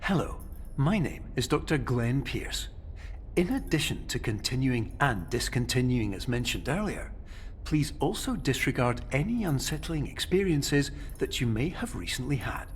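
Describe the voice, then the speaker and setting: An actor playing a soothing, formal, wordy doctor giving a recorded therapy message in a game. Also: male voice, mid-range voice, big pitch swings, moderate pace, slight background noise, close to an everyday voice. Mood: polite, soothing. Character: soothing formal male doctor running experimental sleep therapy.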